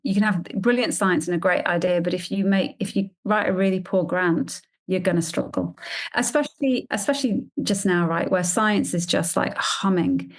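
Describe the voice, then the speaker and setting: A woman's voice: on the low side, normal speed. A woman scientist answering conversationally in an interview, emphatic and repeating phrases for stress. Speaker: scientist and grant panel member.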